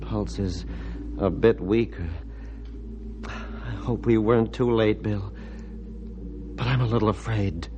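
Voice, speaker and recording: Lowish male voice, solemn surgeon, old-time radio drama, humble, grave, deliberate phrasing. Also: steady tone, lo-fi sound, noisy background. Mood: tense, worried.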